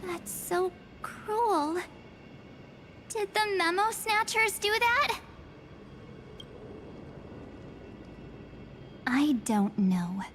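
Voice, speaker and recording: A woman voicing an enigmatic young female memory spirit in a video game, coaxing and softly menacing, with slow, breathy delivery. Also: very high-pitched, very animated, slight background noise, heavily acted. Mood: somber. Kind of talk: scripted video game dialogue.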